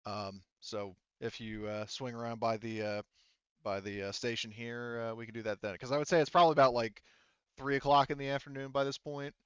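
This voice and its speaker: A man working as game master, narrating a tabletop roleplaying game in a halting, informal way. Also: mid-range voice, little pitch movement, smooth, no echo, muffled recording. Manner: halting, informal, talks around points.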